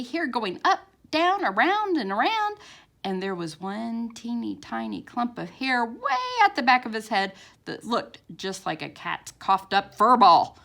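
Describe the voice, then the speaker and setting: Animated, unhurried woman reading a children's story. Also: a little high, gravelly. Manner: theatrical, expressive, drawn-out words and sound effects. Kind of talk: animated picture-book read-aloud for young children.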